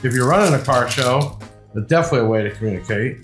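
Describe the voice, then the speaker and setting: A man's voice: medium pitch, lively intonation, fast talker. A man hosting a casual unboxing review, talking informally in a rambling, enthusiastic sales patter. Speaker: video host and shop seller presenting products. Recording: echoey room with music behind.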